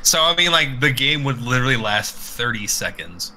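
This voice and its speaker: A man's voice: mid-range voice, very animated, normal speed, bright, forceful tone, a little hiss or hum. A man co-hosting a casual live podcast, rambling and jokey in a mock-earnest way, swearing freely. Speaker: podcast co-host.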